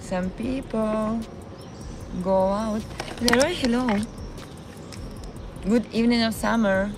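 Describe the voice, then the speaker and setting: A woman with a Ukrainian accent, live-streaming from a city park and chatting with her viewers in a halting way, often searching for words. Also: a little high, noisy background. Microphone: phone.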